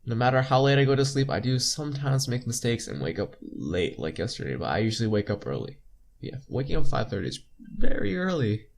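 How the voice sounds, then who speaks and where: A man's voice: medium pitch, huge pitch swings, fairly quick, vocal fry. A young man reading visual novel text aloud as a playthrough host, informally, stumbling now and then and correcting himself, with casual asides. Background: game music.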